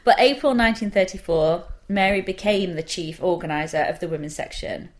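A woman's voice: fairly low pitch, brisk. A woman in her twenties, co-hosting a podcast and telling a history story in a sarcastic, jokey, sweary way. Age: 20s. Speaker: podcast co-host. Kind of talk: casual chat, storytelling.

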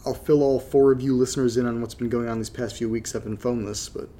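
An actor playing a troubled young man recording a halting, confessional audio diary for a horror drama, his voice slightly congested. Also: medium pitch, fairly even intonation, warm tone, a little hiss or hum, close to an everyday voice. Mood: uneasy, wry.

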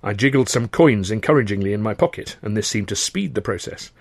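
Male narrator, for dramatised audiobook. Wry, wordy, very lively intonation, dry close sound. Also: lowish voice, a little fast, gravelly, dry recording. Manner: expressive, wry, wordy storytelling. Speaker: author reading his own novel.

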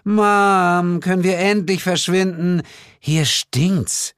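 A man's voice: sits high, takes it slowly, fairly bright tone, clean tone, no room sound, studio quality, voice pushed up. A man voicing a cheeky, defiant teenage demon girl in an audiobook, snotty and insolent. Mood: whiny impatient.

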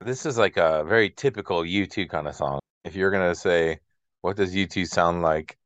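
A man's voice: deep voice, moderate pace, a bit bright, no room sound, muffled recording. A man co-hosting a casual music chat, speaking in a reflective, hesitant way peppered with filler words. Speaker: co-host of a music discussion show.

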